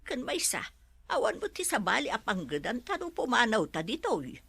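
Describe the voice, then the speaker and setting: An actress playing a fretful, dutiful older housemaid with a husky voice in an Ilocano radio drama. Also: female voice, fairly high pitch, wildly swooping pitch, normal speed, a bit bright, no echo, exaggerated voice. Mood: concerned, gossipy.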